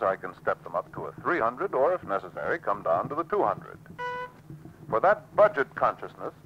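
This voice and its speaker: An American actor playing a polished, confident car salesman in a 1950s industrial film, with a smooth sales-pitch delivery. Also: natural voice. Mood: confident, planning. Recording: muffled, hissy 1950s film soundtrack.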